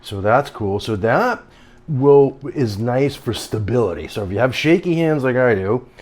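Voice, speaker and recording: A middle-aged man casually reviewing a product at home and on his deck, rambling, often breaking off mid-sentence and getting excited about what he finds. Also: medium pitch, normal speed. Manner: rambling, self-interrupting, easily excited. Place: home, later outdoors on a deck.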